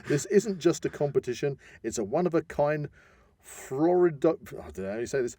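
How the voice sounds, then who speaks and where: A man's voice: a little high, moderate pace, warm tone, no room sound. A British man co-hosting a podcast in casual, jokey, rambling chat, recorded close up in a studio. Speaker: podcast co-host. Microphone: close studio microphone.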